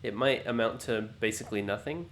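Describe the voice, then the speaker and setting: A man hosting a let's play, thinking aloud in an unhurried, musing way, his voice low and groggy from just waking up. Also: a bit bright, a little hiss or hum. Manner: musing, unhurried, self-talking. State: low morning voice, just woken up. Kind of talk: thinking-aloud gameplay commentary.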